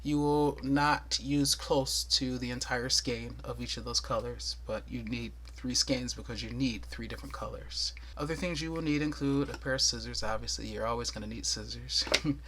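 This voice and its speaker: Tutorial host, male, soothing voice, mid pitch, patient and chatty, counts stitches aloud. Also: normal speed, few pauses, noisy background. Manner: thorough, chatty, with light laughs and counting aloud. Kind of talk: casual step-by-step tutorial.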